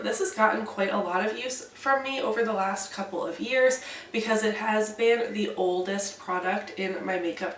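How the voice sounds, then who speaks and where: A woman's voice: mid-range voice, a bit bright. A young woman with a stuffy, congested voice from a cold, chatting casually through a makeup update vlog. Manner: chatty, detailed product talk. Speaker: beauty vlogger. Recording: echoey room, distant mic, faint hiss.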